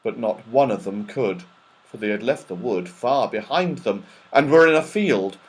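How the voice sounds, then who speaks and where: A man narrating a children's storybook in an expressive, dramatic, animated way. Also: medium pitch, normal speed, breathy edge, rough recording. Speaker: audiobook narrator.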